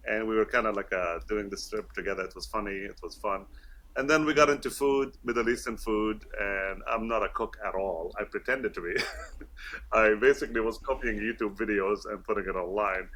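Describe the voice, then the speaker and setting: Bright-voiced man with British-schooled English. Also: fairly low pitch, brisk. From Kuwait. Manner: friendly, laughing, many fillers and restarts. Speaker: guest. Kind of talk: casual interview talk.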